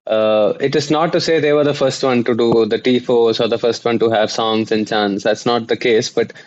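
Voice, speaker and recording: A man, a guest journalist explaining at length with frequent pauses. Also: medium pitch, steady tone, muffled recording. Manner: explanatory, with many uh fillers and restarts. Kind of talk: conversational interview.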